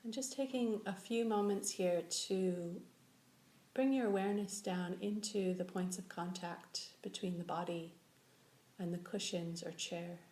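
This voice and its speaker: A woman leading a guided meditation as a teacher, giving slow, gentle instructions with long pauses. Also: fairly low pitch, steady tone. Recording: echoey room with faint background noise.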